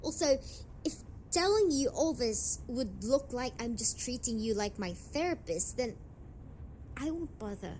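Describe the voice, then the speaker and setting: A woman's voice: fairly high pitch, lively intonation, darkish tone. Woman acting a defensive young delinquent girlfriend, noisy recording. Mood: defensive, upset. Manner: hesitant, halting, foul-mouthed, defensive. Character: young tsundere delinquent girlfriend, defensive, blunt, secretly hurting. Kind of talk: amateur romantic audio roleplay acting.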